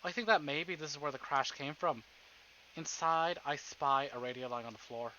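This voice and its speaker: Guy streamer narrating a visual novel, bright highish voice, kinda theatrical, slightly muffled audio. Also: moderate pace, a little hiss or hum. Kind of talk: dramatic read-aloud of a visual novel with casual asides.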